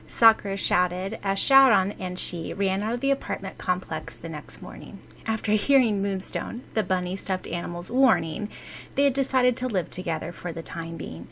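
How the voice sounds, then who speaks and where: A woman's voice: mid-range voice, creaky, a bit bright, a little hiss or hum. A woman reading scripted story narration expressively, as an audiobook narrator.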